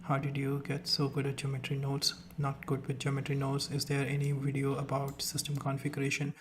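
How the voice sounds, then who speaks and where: A man's voice: medium pitch, completely flat delivery, fairly quick, background noise. A young man with an Indian accent, whose first language is Rajasthani, hosting a live stream in casual chat, hesitant with many fillers and restarts.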